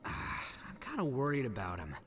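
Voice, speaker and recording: A man reading game dialogue in a playful, casual and expressive way. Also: mid-range voice, normal speed.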